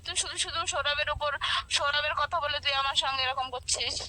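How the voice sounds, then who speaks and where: A woman's voice: sits high, fairly even intonation, quick pace, sharp tone, a little hiss or hum. A woman on the phone in a heated informal argument, defending herself indignantly and repeating herself. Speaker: friend receiving a prank call.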